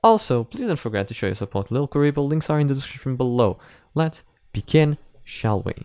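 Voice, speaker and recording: A man's voice: mid-range voice, sing-song, brisk, darkish tone, no echo. A man hosting a reaction video, talking in a casual, rambling, conversational way.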